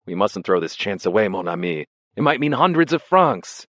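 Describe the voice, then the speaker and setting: A man's voice: on the low side, very animated, moderate pace, a bit bright, no room sound, studio quality, pitched above the performer's own voice. A man voicing an eager, scheming young Russian waiter who talks in an urgent, persuasive way. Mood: eager, coaxing.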